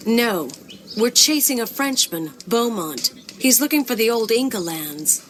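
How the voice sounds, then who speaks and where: An actress with an American accent playing a determined, dry-witted adventurer heroine in a video game, plain-spoken and resolute. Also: female voice, a little high, lively intonation, unhurried, a little hiss or hum. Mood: urgent, resolute. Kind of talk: dramatic video game voice acting and narration.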